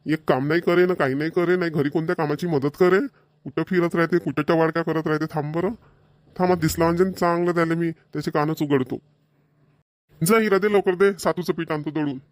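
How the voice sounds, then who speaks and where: A man's voice: on the high side, fairly even intonation, fairly quick, no room sound, studio quality, lightly acted. A man voicing a grumbling village father. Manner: rural Marathi dialect, grumbling, repetitive. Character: middle-aged village father, grumbling, scolding idle son. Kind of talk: cartoon voice acting.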